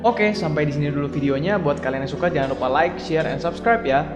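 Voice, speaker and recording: A man's voice: on the high side, fast. A young man with an Indonesian accent giving casual gameplay commentary as a streamer. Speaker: gaming streamer. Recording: echoey room, game music behind. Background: video game music.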